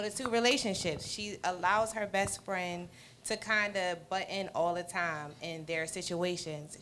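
A woman's voice: medium pitch, a bit bright, slight background noise. A woman actress earnestly describing her role in a casual interview, speaking into a handheld mic in a restaurant. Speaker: stage play actress. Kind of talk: interview answers. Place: restaurant with wood-paneled walls.

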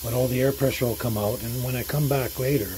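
Older male vlogger, mid-pitched, hesitant and casual, noisy handheld outdoor recording. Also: fairly even intonation, fast talker. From Canada. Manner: hesitant, rambling delivery. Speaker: vlogger, host. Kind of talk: casual unscripted vlog talk. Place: outdoors at a forest campsite and inside a vehicle canopy. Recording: clear background noise, handheld outdoor audio.

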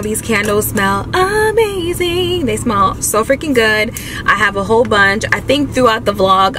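A young woman talks casually to viewers in a vlog. Her voice sits at a medium pitch. She is recorded inside a car, with clearly audible vehicle and ambient noise around her. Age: young adult. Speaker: vlogger. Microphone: camera mounted near the steering wheel.

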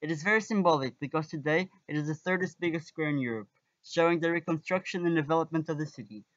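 A man's voice: fairly high pitch, quick pace, fairly bright tone, dry recording, highs cut off. A teenage man from Portugal with a Portuguese accent, presenting an amateur documentary as a student guide.

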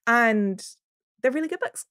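Higher-pitched woman, emphatic and earnest. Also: expressive, unhurried, pushed and bright. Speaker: podcast guest. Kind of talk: personal, earnest conversation.

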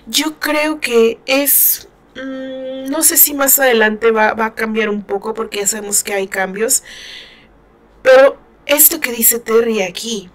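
A woman's voice: a little high, unhurried. A woman with a northern Mexican accent chatting casually about a novel she is reading, giggling and sighing as she comments. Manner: giggly and expressive, sighing often. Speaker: book reaction host.

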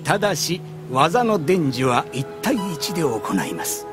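An actor playing a devoted sword master, humble and formally theatrical, in an anime audio drama. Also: male voice, on the high side, big pitch swings, a little slow, music in the background. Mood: formal, solemn.

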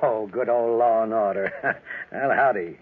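A man's voice: on the low side, measured pace, a little hiss or hum, lightly acted. Man acting a sly folksy butcher, thin old radio. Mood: oily, jovial. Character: sly, folksy male cattle butcher, leering and faintly menacing. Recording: muffled, tinny 1940s radio recording.

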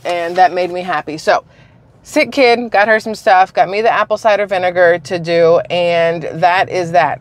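A woman in her forties chats candidly into a dashboard camera from the driver's seat of a parked car. She rambles through everyday stories, slipping into quick quoted voices of the people in them. The sound is close and enclosed, like a car interior. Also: lowish voice. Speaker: vlogger. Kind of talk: casual chatty vlog. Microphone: camera mounted on the dashboard.

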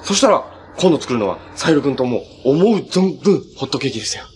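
A man's voice: medium pitch, lively intonation, quick pace, natural voice. An actor playing a cheerful, earnest young male hero in a TV drama, talking politely and upbeat. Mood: warm, resolute. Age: young adult. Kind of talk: live-action TV drama acting. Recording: TV soundtrack with faint noise.

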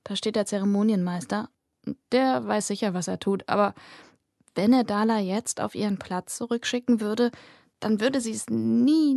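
A woman narrates a fantasy audiobook in a close, inward, present-tense manner. Her voice has a gravelly, creaky texture at a middle pitch. The recording is dry and close to the mic. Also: darkish tone, dry recording.

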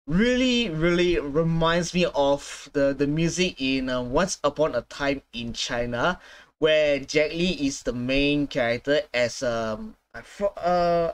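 A man in his twenties hosting a casual gaming reaction, halting and repeating himself as he searches for words. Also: fairly high pitch. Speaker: gaming reaction video host. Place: small room at home, indoors.

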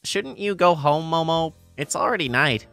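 A man's voice: fairly high pitch, expressive, moderate pace, gravelly, fairly bright tone, soft background music. A man playing an easygoing teenage boy narrating a romantic comedy manga story, explanatory and fondly exasperated. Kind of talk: scripted manga narration and dialogue.

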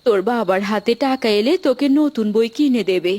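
A woman voicing a gentle, careworn village mother in a children's cartoon, with a mild manner. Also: a little high, animated, a little fast, lightly acted. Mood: gentle, resigned.

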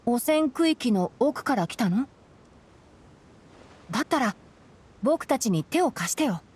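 A woman playing a young male forest ranger in a Japanese anime game, calm and studious, explaining things in a measured way. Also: on the high side, noisy background. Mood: calm, analytical. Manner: measured, matter-of-fact explaining.

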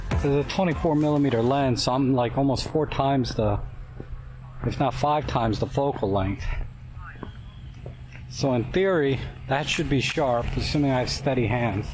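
A middle-aged man talking casually outdoors while he works through his camera settings and explains them as he goes. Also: on the high side. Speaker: photography vlogger. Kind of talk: casual talk on a photo walk. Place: outdoors in a park by the water, and in a car.

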